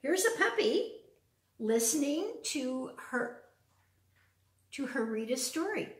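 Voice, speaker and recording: An older woman reading a picture book aloud to young children, pausing for simple questions and chatty asides in a living room. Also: sits high, very animated. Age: older, around 70s. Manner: simple, child-directed talk with frequent questions. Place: large open living room with wood floor, indoors. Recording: roomy echo, distant from the mic.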